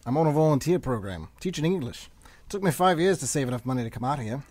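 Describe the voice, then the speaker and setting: A man's voice: mid-range voice, animated, quick pace, a little mellow, no echo, natural voice. A man acting an eager, easily wounded traveller in radio theatre, speaking in a colloquial, earnest, plaintive way. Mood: matter-of-fact, wry. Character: friendly, wounded, eager Australian traveller Len, newly arrived volunteer teacher. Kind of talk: stage-play dialogue for radio theatre.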